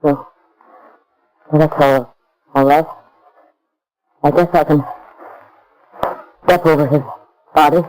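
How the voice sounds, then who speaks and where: A woman's voice: low voice, fairly even intonation, takes it slowly, long pauses, a little mellow, poor audio. An actress in a 1930s radio crime drama playing a hopped-up, girlish young robber who talks in slang and pleads. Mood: shaken. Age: young adult. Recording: vintage 1930s radio recording.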